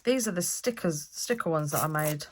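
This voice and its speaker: A woman's voice: low voice, lively intonation, normal speed, slight background noise. A woman hosting a craft vlog, chatting and thinking aloud as she works, a bit stuffy with a cold. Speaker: craft channel host. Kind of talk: casual crafting vlog talk.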